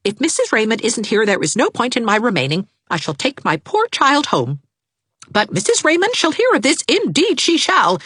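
A woman voicing an indignant, haughty middle-aged mother with a shrill, imperious manner. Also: wildly swooping pitch, normal speed, fairly bright tone, husky, no echo, clean studio sound, exaggerated voice.

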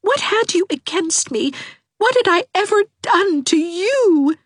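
A woman's voice: very high voice, expressive, unhurried, no room sound, studio quality, voice pushed up. A sobbing, melodramatic young girl. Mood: tearful, melodramatic. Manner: theatrical, sobbing, overwrought. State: crying. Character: melodramatic young woman, weepy and self-pitying.

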